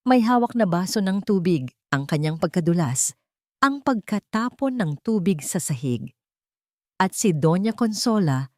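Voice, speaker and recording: A woman narrating a scripted dramatic story with deliberate, melodramatic phrasing. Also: low voice, a little mellow, no echo, studio quality.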